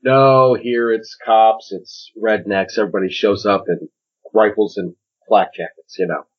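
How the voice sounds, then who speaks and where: A man with a slightly low, husky voice co-hosts a casual film podcast. His delivery is animated but easygoing and agreeable. The recording sounds a bit dull. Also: unhurried. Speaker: podcast co-host.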